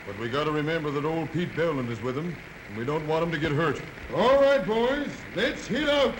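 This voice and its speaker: An actor playing a commanding sheriff in 1930s radio Western style, urgent and folksy, on a noisy old soundtrack. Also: male voice, fairly high pitch, lively intonation, fairly quick, natural voice. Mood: resolute, rallying. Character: commanding Western sheriff rallying his posse.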